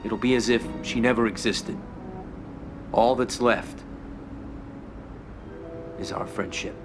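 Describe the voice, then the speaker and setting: An actor playing a confident, controlling mafia don in a video game cutscene. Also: male voice, mid-range voice, fairly even intonation, takes it slowly, music in the background, close to an everyday voice. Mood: coaxing control.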